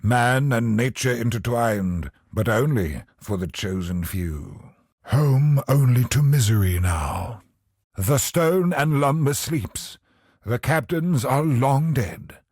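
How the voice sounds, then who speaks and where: An actor voicing a grave, bitter, ancient cosmic sorcerer in a video game, speaking in solemn, portentous, theatrical lines with a husky voice. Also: male voice, mid-range voice, lively intonation, a little mellow, no echo, studio quality. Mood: brooding, ominous. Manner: solemn, portentous, dramatic slow delivery.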